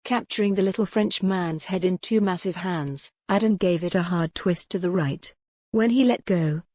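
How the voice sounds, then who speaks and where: A woman's voice: on the low side, a little mellow, no room sound. A woman reading scripted dramatic narration of a historical romance as an audiobook narrator.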